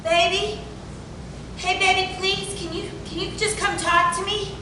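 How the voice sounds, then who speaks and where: A woman playing a frightened, devoted young wife, earnest and halting as she pleads in a stage play reading. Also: fairly even intonation. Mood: pleading, anxious. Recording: very echoey room from far off, rumbling noise.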